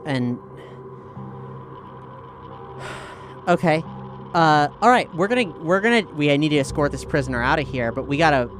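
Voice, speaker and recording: A man's voice: a little high, unhurried, pauses often, a bit bright, a little hiss or hum. A man playing a tabletop role-playing game, talking casually in character and thinking aloud with hesitant repeats. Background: music.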